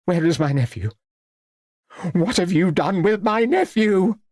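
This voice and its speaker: Agitated aristocratic old woman, acted by a man, high and insistent, for a storybook. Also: lively intonation, moderate pace, a little mellow, no echo, studio quality. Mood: indignant, shocked. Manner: insistent, indignant, demanding.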